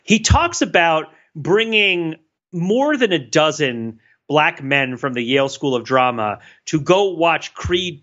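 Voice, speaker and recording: A man co-hosting a podcast in casual chat, excitable and talking in quick bursts with many restarts. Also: on the high side. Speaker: podcast co-host. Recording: dull lo-fi mic.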